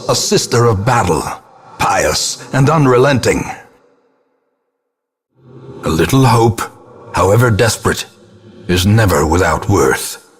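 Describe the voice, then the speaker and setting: An actor voicing a grim, guilt-ridden old ancestor who narrates a gothic horror game in solemn, ornate, theatrical phrases with a husky voice. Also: male voice, fairly low pitch, animated, full character voice. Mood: solemn, foreboding. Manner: solemn, ornate, deliberate dramatic delivery.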